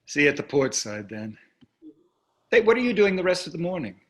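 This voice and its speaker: Animated, curmudgeonly older husband played by a man. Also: fairly high pitch, a little fast. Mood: breezy. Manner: glib, dismissive. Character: wealthy, breezy, curmudgeonly older husband and father. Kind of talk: staged play reading.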